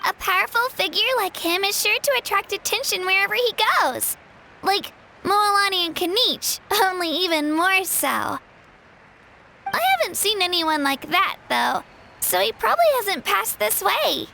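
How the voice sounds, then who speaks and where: A woman's voice: very high voice, lively intonation, a little slow, vocal fry, bright, forceful tone, slight background noise, exaggerated voice. A woman voicing an earnest, modest young girl athlete in a video game. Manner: eager, self-effacing. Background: game music.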